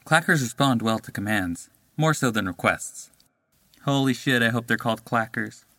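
A man reading voice lines quickly and swearing when he slips. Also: mid-range voice, animated, pushed and bright, no echo. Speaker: voice actor.

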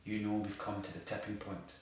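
An actor playing an intense eco-militant, gravely and insistently persuasive in an audio drama. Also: male voice, lowish voice, flat delivery, fairly quick, close to an everyday voice. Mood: intense, urgent. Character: intense, forceful eco-militant man, zealous and conspiratorial. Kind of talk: naturalistic audio drama dialogue. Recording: echoey, distant, noisy room recording.

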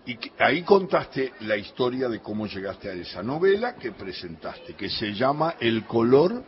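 A man's voice: mid-range voice, big pitch swings, normal speed, slight background noise. A man with a Rioplatense Spanish accent, hosting a radio interview and asking curious, chatty follow-up questions. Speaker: radio host. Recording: dull lo-fi radio sound.

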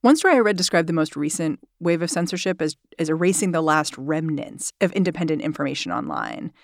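A woman's voice: low-pitched, expressive, a little fast, creaky, no room sound. Curious woman host, dry studio sound. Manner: curious, conversational questioning. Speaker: interview host. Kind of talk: radio interview questions.

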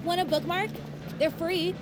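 Casting: cheeky convention pal, high voice, casual playful banter, music behind. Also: female voice, fairly even intonation, measured pace. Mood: breezy. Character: chatty, cheeky young female convention-goer. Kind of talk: audio drama, casual banter.

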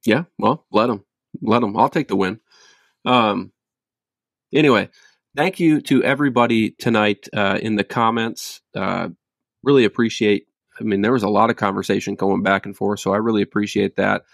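A man's voice: fairly low pitch, normal speed, creaky, no room sound, clean studio sound. A man hosting a casual sports podcast, laid-back and stumbling through restarts. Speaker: podcast host. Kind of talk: casual chat.

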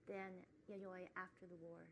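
A woman's voice: on the low side, flat delivery, unhurried, vocal fry, a little hiss or hum, poor audio. A woman hosting an interview podcast, asking friendly, prompting questions. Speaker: podcast host.

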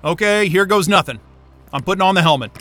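An actor playing an excitable, sarcastic, foul-mouthed astrophysicist in a naturalistic audio drama. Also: male voice, sits high, quick pace, soft background music. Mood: determined.

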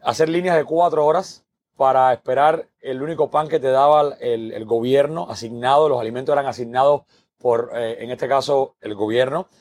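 A man's voice: medium pitch, fairly even intonation, moderate pace, darkish tone. Cuban male guest, earnest storyteller, mid-range voice, repeats himself for emphasis. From Cuba. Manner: earnest, repeats words while building a point. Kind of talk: podcast interview, personal story.

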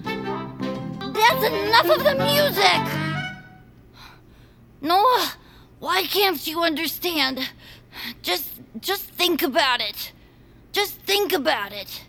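A woman's voice: very high-pitched, expressive, drawn-out words, vocal fry, pauses often, sharp tone, soft background music, exaggerated voice. A woman playing an anxious, reluctant game-show contestant in an audio drama, hesitant, pleading and easily exasperated. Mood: upset, pleading. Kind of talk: audio fiction acting.

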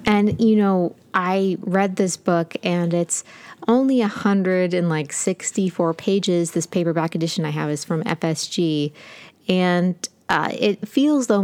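A woman with a slightly low voice talks about books in an easy, chatty way. She is enthusiastic and scatters fillers through her sentences as a podcast co-host. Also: a little mellow, smooth. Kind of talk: casual book chat.

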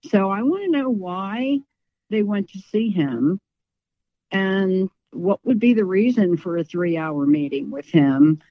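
A woman's voice: medium pitch, lively intonation, takes it slowly, no room sound. Outspoken woman, dull lo-fi sound. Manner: outspoken, emphatic. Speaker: interview guest. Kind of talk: conversational interview.

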